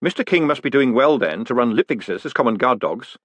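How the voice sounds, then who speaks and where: A male narrator plays a quick-witted new postmaster in a fantasy audiobook. His delivery is conversational and polite, a little flustered, with lively pitch movement. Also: slightly rough, no echo. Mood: polite, wry. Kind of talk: audiobook character voice.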